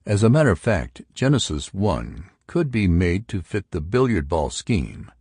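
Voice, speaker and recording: Guy doing an argumentative brainy man in an audiobook, emphatic, pitch jumps around a lot. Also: big pitch swings, unhurried, a little mellow, breathy edge, dry recording, clean studio sound, full character voice. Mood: earnest, arguing. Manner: emphatic, pedantic. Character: argumentative intellectual man expounding theories to friends. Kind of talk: audiobook character dialogue.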